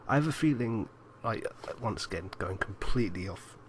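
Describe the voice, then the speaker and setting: A man's voice: lowish voice, animated, normal speed. A man co-hosting a podcast and chatting casually about films. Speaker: podcast co-host. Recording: noisy amateur podcast recording.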